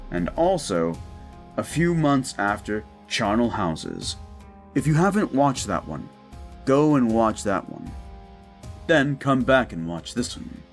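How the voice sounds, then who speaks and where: A man giving an informal spoken introduction as the creator of a roleplay audio story. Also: medium pitch.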